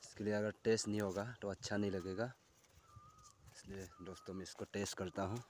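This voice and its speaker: A man's voice: fairly low pitch, fairly even intonation, a little mellow, slight background noise. A young man casually explaining his cooking to viewers, speaking into a close phone camera outdoors on a forested hillside. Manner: plain, unhurried explaining, addresses viewers directly. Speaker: cooking vlogger. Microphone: phone camera held close.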